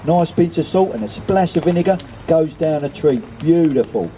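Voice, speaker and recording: A man's voice: a little high, expressive, normal speed, dark tone, a little hiss or hum, voice pushed up, close to an everyday voice, rough recording. A man voicing a defiant, nostalgic older London mother in a storybook, earthy and chatty.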